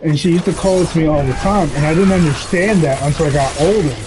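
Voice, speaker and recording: A man's voice: a little high, fast talker, noisy background. Candid rambling man streaming a game. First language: English. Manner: rambling, candid storytelling with laughs and swearing. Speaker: gaming streamer. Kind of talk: casual chat while gaming. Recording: game sounds behind the voice.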